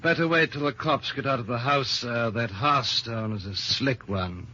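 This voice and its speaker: Sharp-voiced, sly butler. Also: male voice, medium pitch, moderate pace. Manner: deferential but knowing. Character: sly, insinuating male butler. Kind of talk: old-time radio drama acting. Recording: dull lo-fi vintage radio recording.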